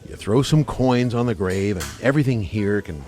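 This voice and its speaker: An actor playing a brash, skeptical, wisecracking buddy in a radio play, cajoling in colloquial speech. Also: male voice, on the low side, expressive, normal speed, darkish tone, faint music behind, close to an everyday voice.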